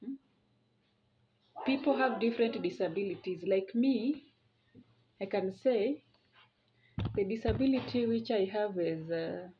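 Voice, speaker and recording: A woman explains things informally to her viewers. She speaks slowly and haltingly, often repeating a phrase to stress it. Her medium-pitched voice comes through a slightly muffled, lo-fi recording. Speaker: video presenter. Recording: dull lo-fi audio.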